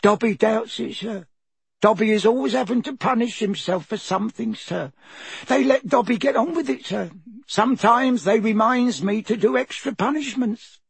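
A man voicing a small, servile male house elf who talks in a squeaky, anxious way. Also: moderate pace, gravelly, slightly rough, no room sound, exaggerated voice. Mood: pleading. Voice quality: squeaky, high-pitched. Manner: servile, calls himself by name, ends lines with "sir". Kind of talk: audiobook character voice.

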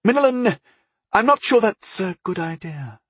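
Guy doing a skeptical goddess lady for a fantasy audiobook, higher voice, bit husky, chiding. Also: very animated, moderate pace, fairly bright tone, no echo, exaggerated voice. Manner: questioning, dismissive, chiding. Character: skeptical, exasperated patron goddess, a divine woman.

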